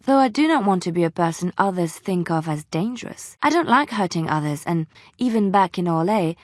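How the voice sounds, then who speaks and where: An actress playing a gentle, devout young woman in a fantasy video game, speaking earnestly and wistfully, with lyrical phrasing. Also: on the low side, dry recording, studio quality, close to an everyday voice. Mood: earnest, sincere. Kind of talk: video game companion dialogue.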